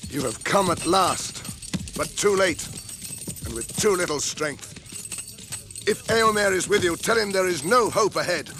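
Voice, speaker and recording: A weary, despairing rider in a radio drama. His voice is high and forceful, declaiming grim warnings slowly over a clear layer of noisy effects. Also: animated. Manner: declamatory, urgent, archaic phrasing. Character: weary, despairing horseman bearing grim news.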